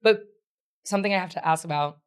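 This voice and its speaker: A woman's voice: on the low side, fast, clear, resonant tone. A woman co-hosting a podcast with casual, teasing banter and dramatic comic emphasis. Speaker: podcast co-host.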